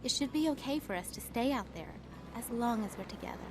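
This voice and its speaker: An actress in a video game playing a gentle, weary young woman devoted to her lover. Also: a little high, animated, fast, noisy background, lightly acted. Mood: tender, hopeful.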